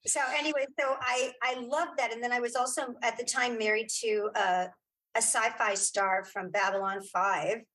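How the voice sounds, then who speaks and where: A middle-aged or older woman chatting casually as an interview guest, telling stories with animated, self-mocking asides. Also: fairly high pitch, normal speed, poor audio. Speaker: interview guest, audio drama creator and former actress.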